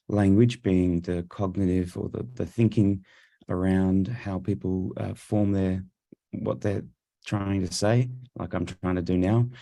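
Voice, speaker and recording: A man's voice: deep voice, gravelly, mellow, dry recording. A man with an Australian accent, a professor giving a virtual conference talk with many fillers and restarts, speaking through Bluetooth headphones. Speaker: speech neuroscience professor presenting.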